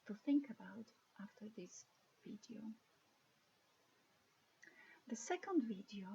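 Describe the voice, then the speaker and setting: A woman with a Polish accent delivers a recorded course lecture, speaking in a measured, careful way and now and then restarting a phrase. Her voice is mellow and warm. The recording sounds a little dull, with faint noise behind her. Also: medium pitch. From Poland.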